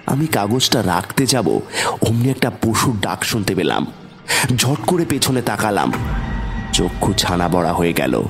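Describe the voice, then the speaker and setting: A man with a fairly deep voice reads a first-person ghost story. His delivery is dramatic and suspenseful, and soft music runs behind him. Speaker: narrator. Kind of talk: scripted first-person horror story narration. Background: background music.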